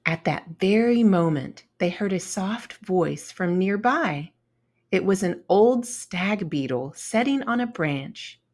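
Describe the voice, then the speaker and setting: A woman narrates a children's storybook in a mid-range voice. She takes her time and shapes each phrase with animated, expressive intonation. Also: medium pitch, slow pace.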